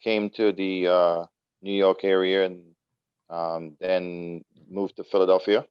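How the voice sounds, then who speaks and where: Deep smooth-voiced man from Trinidad. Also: no room sound, highs cut off. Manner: relaxed, unhurried, many fillers. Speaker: guest, youth soccer coach. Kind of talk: casual interview talk.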